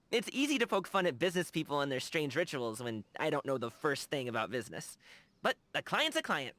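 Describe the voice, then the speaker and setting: A man's voice: sits high, a bit bright. An actor playing a cheerful, earnest young man in a video game, chatting in a casual, slangy way. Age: young adult. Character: cheerful, earnest young male lion-dancer and tea lover. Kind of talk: animated video game character dialogue.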